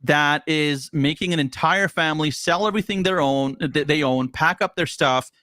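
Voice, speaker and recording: A man's voice: fairly high pitch, moderate pace, pushed and bright, dry recording, clean studio sound. A man originally from Poland, a guest on a casual podcast, talking earnestly and emphatically, often repeating words as he starts a thought.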